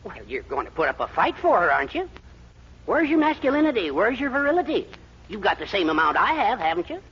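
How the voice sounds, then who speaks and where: An actor playing a fussy, henpecked middle-aged American husband and eager schemer, blustering and hesitant in 1940s radio comedy style. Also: male voice, high voice, quick pace. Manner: excitable, blustering, hesitant stammers. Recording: dull, noisy 1940s radio recording.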